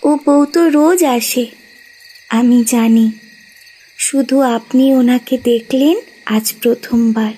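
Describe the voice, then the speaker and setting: Lady doing a creepy little ghost girl, high soft dark voice, slow and knowing. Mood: calm, knowing. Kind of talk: horror audio story acting.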